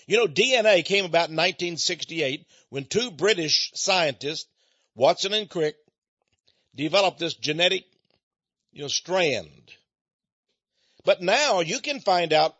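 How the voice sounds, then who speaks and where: A man's voice: fairly high pitch, fairly bright tone, no echo, dull audio. An American man giving a rambling personal monologue to his listeners, folksy and digressive, with frequent chuckles. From United States. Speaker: speaker addressing listeners.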